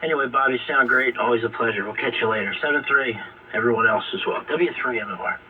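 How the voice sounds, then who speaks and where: A man's voice: medium pitch, quick pace. A man chatting casually and informally in slang as an amateur radio operator over an AM shortwave link. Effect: AM shortwave radio transmission. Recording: phone-like, distant, noisy AM radio signal.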